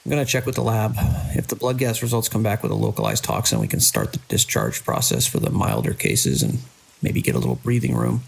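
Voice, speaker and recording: An actor playing a controlling male hospital director in an audio drama, brisk and dismissively reassuring as a crisis grows. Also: fairly low pitch, very animated, gravelly, slightly rough, heavily acted, poor audio. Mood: composed, official.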